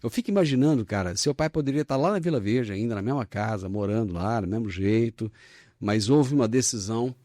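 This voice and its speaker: A man's voice: mid-range voice, lively intonation, brisk, warm tone, no room sound, studio quality. A man hosting an interview, talking in a friendly, chatty way and calling his guest "cara". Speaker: podcast host, interviewer. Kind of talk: casual interview conversation.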